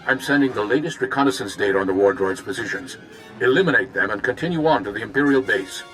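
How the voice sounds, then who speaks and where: An actor playing a male officer giving briefings in a game cutscene, in a brisk, businesslike way. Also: medium pitch, expressive, a bit bright, lightly acted, poor audio. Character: male Republic officer, brisk military planner. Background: game music.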